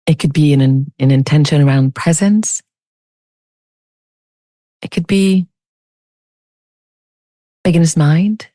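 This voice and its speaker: A woman leading a guided meditation as a teacher, speaking in a soothing, unhurried, gently inviting way. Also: deep voice, dry recording.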